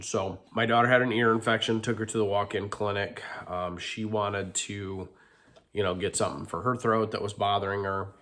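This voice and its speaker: A man's voice: fairly low pitch, a bit bright. A middle-aged man with a stuffy, congested nose, talking candidly in a rambling vlog confession full of ums and restarts. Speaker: vlogger.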